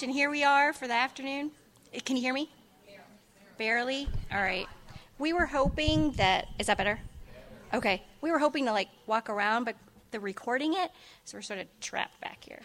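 Soft-spoken woman giving a conference talk. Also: sits high, normal speed. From Ohio. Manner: soft-spoken, many ums and restarts. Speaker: school district director presenting a conference session. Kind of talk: conference presentation. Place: conference room.

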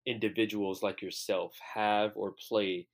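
Male host, slightly low voice, enthusiastic and encouraging, long wandering questions. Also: little pitch movement, unhurried. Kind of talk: casual interview conversation.

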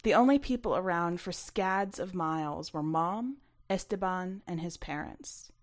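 A woman narrates first-person fiction from a script, as an author reading her own work. Her voice sits a little low. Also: a little slow.

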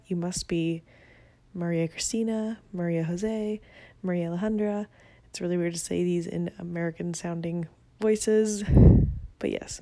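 A woman's voice: fairly low pitch, vocal fry, warm tone, no echo. A young woman from Venezuela hosting her own podcast, talking casually and upbeat with a self-deprecating streak, recorded through a phone app. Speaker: podcast host. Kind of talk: casual solo podcast monologue. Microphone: phone app, no proper mic.

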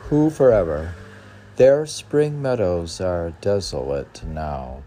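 A man with a low, creaky voice reads poetry and commentary in an informal, halting way. He goes slowly, repeating words and muttering asides. There is slight background noise on the recording. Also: warm tone. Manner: halting, stumbling and repeating words while reading. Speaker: podcast host reading poetry and commentary aloud.

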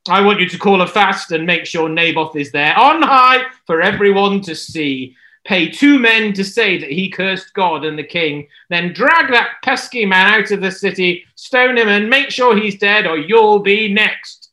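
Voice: mid-range voice, lively intonation, measured pace, pushed and bright, pitched above the performer's own voice. A storyteller voicing a scheming, bossy wicked queen who gloats and cackles over her plots in a children's Bible story. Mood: threatening.

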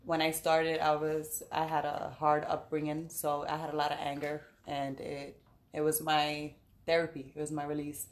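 A woman in her late twenties from the Bronx, a boxer talking casually as a guest, relaxed with many fillers and laughs. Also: low voice, normal speed. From the Bronx, New York. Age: late 20s. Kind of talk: casual podcast interview.

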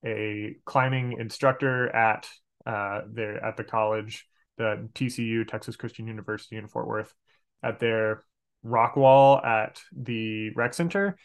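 A man's voice: fairly low pitch, steady tone, measured pace, clear, resonant tone. An American man from Arkansas chatting casually as a podcast guest, explaining things and correcting himself as he goes. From Arkansas, United States. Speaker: podcast guest, game master and outdoor educator. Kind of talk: casual interview chat.

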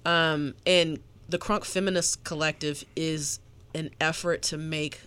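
Fields: Sex: woman. Role: podcast host. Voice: bright. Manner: animated storyteller with many fillers. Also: deep voice, measured pace, clear, resonant tone. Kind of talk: casual music discussion.

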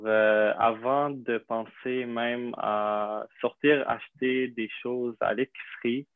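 A young man from Syria, a native Arabic speaker, telling his story in an interview with many pauses, fillers and restarts. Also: on the low side, no echo. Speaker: interview guest. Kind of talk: hesitant conversational interview. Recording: dry tinny phone-like line.

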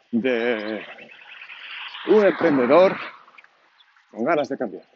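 A man's voice: medium pitch, darkish tone. A man of 49 from Catalonia with a Catalan accent in his Spanish, hosting a solo podcast in an informal, unscripted monologue, thinking aloud as he rambles. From Catalonia, Spain. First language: Catalan, alongside Spanish. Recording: dull lo-fi home recording, clear background noise.

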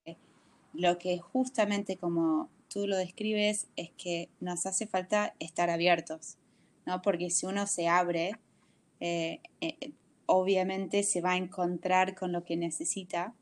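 A woman in her forties from the United States with an American accent, speaking Spanish on a video call as an interview guest. She talks thoughtfully, searching for words with frequent pauses. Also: fairly low pitch, level tone. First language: English. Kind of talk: reflective video call conversation.

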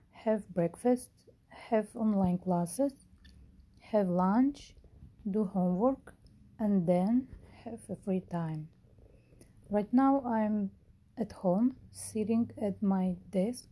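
A woman reads her self-introduction for English class slowly and carefully, listing her daily routine. Her voice is very dark and breathy. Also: medium pitch, low-quality recording. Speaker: English student. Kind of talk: reading a prepared self-introduction.

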